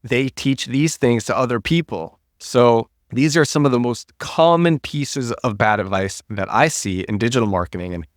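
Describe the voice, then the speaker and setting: Male podcast host, recovering from a cold, low husky delivery, dry close sound, animated rants with restarts. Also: normal speed, hardly any pauses, dry recording. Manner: animated, restarts and repeats words. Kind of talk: solo podcast monologue.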